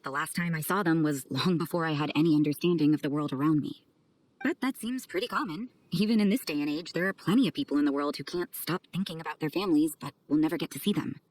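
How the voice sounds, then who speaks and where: A woman's voice: low-pitched, quick pace, a little mellow, low-quality recording. A woman playing a cool, aloof, blunt woman with hidden kindness in a video game, speaking with dry detachment. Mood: detached. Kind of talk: video game character acting.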